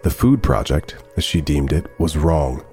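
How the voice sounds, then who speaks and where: An actor playing a polite, introspective AI robot companion with a measured, formal way of talking, in a scripted sci-fi audio drama. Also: male voice, extremely low pitch, lively intonation, darkish tone, faint music behind. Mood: brooding. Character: emotional, polite male AI robot companion, introspective and easily slighted.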